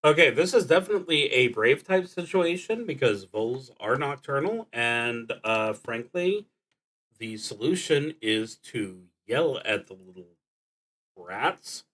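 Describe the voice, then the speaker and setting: A man streaming a solo tabletop roleplaying game, thinking aloud casually and often pausing mid-sentence. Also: mid-range voice, animated, fairly bright tone, low-quality recording. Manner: halting, with frequent pauses mid-sentence.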